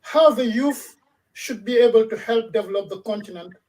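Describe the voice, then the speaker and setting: A woman's voice: on the high side, darkish tone. Woman hosting, heard from a distance. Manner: measured, with frequent restarts. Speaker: radio talk show host. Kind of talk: formal introductions and panel moderation. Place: in Toronto.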